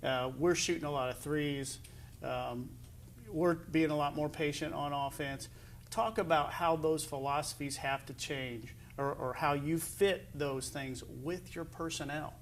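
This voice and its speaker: A man's voice: fairly high pitch, fairly even intonation, a little slow, slight background noise. A man with an American accent hosting a casual sports interview as the show's interviewer. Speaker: interview show host.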